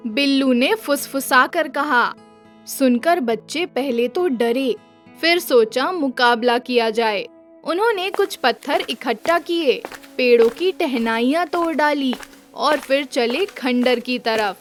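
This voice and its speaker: A woman's voice: high-pitched, animated, slow, faint music behind. A woman narrating a children's story from a script.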